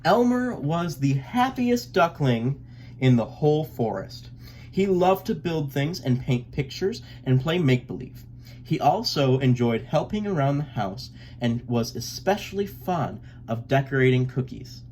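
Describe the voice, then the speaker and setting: A man narrates a picture book in a theatrical, expressive way. His pitch is lively and his pace unhurried. Background noise is noticeable. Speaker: read-aloud storyteller.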